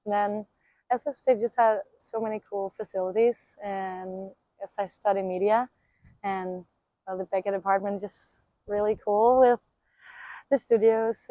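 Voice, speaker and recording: A young woman from Denmark with a Danish accent, an exchange student giving relaxed, upbeat interview answers. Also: mid-range voice, measured pace, no room sound.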